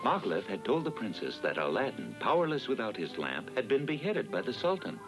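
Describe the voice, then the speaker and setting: A man narrating a children's fairy tale in a dramatic, measured storytelling style. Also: medium pitch, wildly swooping pitch, music in the background, poor audio.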